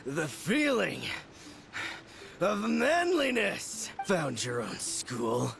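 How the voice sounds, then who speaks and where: An actor playing a theatrically manly, anguished swordsman in a video game, with drawn-out, melodramatic delivery. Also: high voice, huge pitch swings, creaky, music in the background, full character voice. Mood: manic, boastful.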